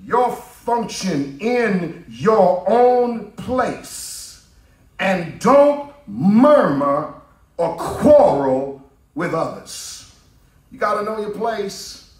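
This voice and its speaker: High, lively preaching man in a church. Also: drawn-out words. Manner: preaching cadence, rising to shouts. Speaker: pastor. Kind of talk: sermon. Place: church sanctuary, at a pulpit. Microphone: pulpit microphone. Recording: roomy church from a distance.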